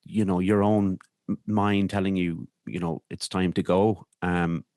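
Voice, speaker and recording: Deep-voiced Irish man telling his story. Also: little pitch movement, darkish tone, no echo, clean studio sound. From Ireland. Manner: halting, reflective, repeats words and trails off. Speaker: podcast guest. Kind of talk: personal storytelling in an interview.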